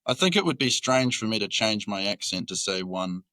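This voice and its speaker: Young male guest, New Zealand accent, open and reflective, lower pitch. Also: sing-song, fairly quick, bright, forceful tone, no room sound. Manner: open, reflective, many fillers. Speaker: guest, employee. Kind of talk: casual podcast chat.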